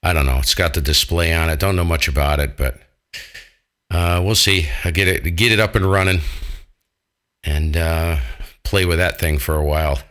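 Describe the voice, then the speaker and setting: A man's voice: sits low, moderate pace, fairly bright tone. An American man chatting casually on amateur radio in a jokey, colloquial and blunt manner. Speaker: amateur radio operator, channel host. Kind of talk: casual ham radio chat.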